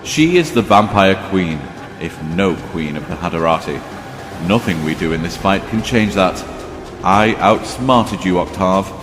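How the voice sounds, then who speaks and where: Deep-voiced, proud vampire king. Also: expressive. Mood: firm, regal. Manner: measured, regal, sardonic. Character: composed, proud vampire king, disdainful of brother. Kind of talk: audio drama acting. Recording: long reverb, music underneath.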